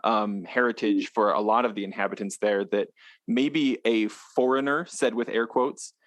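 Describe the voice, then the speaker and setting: Friendly, fairly low-voiced male podcast host. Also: fairly even intonation, normal speed, a bit bright, no echo. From western United States (Utah). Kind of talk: casual interview chat. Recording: dry close sound.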